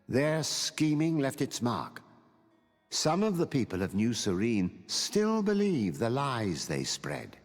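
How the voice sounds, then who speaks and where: An actor playing an elderly mentor who narrates a fantasy game's epilogue in a solemn, measured storytelling manner. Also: male voice, medium pitch, long reverb tail. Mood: grave, measured. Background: music.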